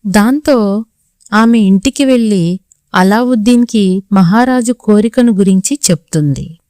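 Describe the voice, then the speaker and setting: A woman's voice: mid-range voice, unhurried, dark tone, no room sound, studio quality. A woman reading scripted children's story narration as the storyteller.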